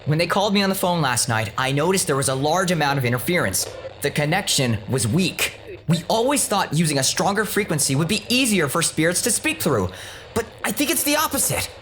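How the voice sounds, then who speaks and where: A man's voice: on the high side, big pitch swings, fairly quick, fairly bright tone, a little hiss or hum. A young man playing an earnest, nerdy ghost-hunter in an audio drama, eager and insistent. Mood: explanatory.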